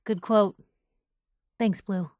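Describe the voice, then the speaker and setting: An actress playing a spirited, nosy young newspaper reporter in a video game, with a wry, punchy, conversational way of talking. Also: female voice, mid-range voice, fairly even intonation, takes it slowly, a little mellow, no room sound, clean studio sound, natural voice. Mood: earnest, probing.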